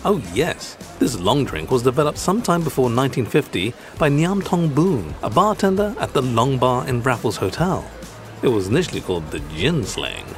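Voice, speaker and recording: A man's voice: medium pitch, very animated, moderate pace, faint music behind. A man hosting a podcast in scripted narration, explaining things in articulate, rather formal phrasing. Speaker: podcast host.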